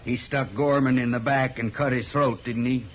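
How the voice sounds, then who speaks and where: Male actor as callous western outlaw, American, medium pitch, surly and defensive, on a muffled old radio recording. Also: fairly even intonation, moderate pace, natural voice. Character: callous, defensive frontier killer. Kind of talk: 1950s radio western acting. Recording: dull, slightly noisy old radio recording.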